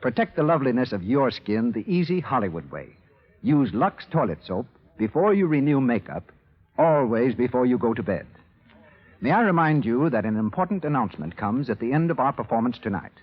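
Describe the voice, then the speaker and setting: Husky, animated vintage radio host, man. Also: medium pitch, a little fast. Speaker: radio show host and announcer. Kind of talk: 1930s radio announcing, scripted sponsor pitch. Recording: muffled vintage 1930s radio recording.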